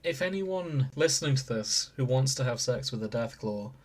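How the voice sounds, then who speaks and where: Man, mid-pitched voice, casual podcast banter, co-host. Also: animated, moderate pace. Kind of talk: casual banter among friends.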